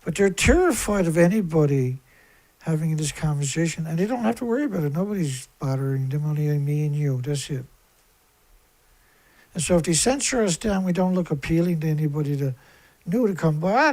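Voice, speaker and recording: A man with a fairly high, squeaky voice speaks as the host of a live stream. His monologue rambles and circles back on itself, delivered in a blunt, aggrieved and emphatic way. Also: animated, a little fast, darkish tone, poor audio.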